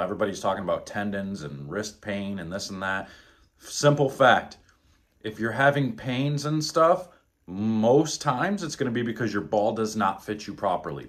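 A man in his thirties coaching bowling technique at home, talking bluntly and emphatically as he demonstrates. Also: fairly low pitch. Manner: blunt, emphatic, exasperated at bad advice. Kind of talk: instructional vlog demonstration. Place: living room at home, indoors. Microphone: camera on tripod, close then several meters away. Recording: echoey room.

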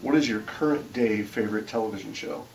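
A man's voice: lowish voice, fairly quick, music in the background, low-quality recording. A middle-aged man interviewing teenagers on a sofa with a handheld mic, asking questions in a joking, friendly way. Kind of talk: casual interview. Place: youth group room, on a sofa.

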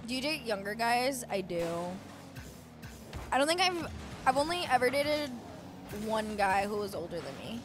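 A woman in her twenties chatting casually on a live stream, reading and answering chat with excitable bursts. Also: fairly high pitch, normal speed, long pauses. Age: 20s. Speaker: esports co-streamer. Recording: game music under the voice. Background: game music and car sounds.

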